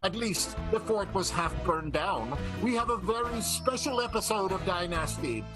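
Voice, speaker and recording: A high-pitched male announcer introduces a show with theatrical relish. His delivery is playful and showy, with clear music running underneath. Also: animated, moderate pace. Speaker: host and narrator. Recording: music bed behind the voice.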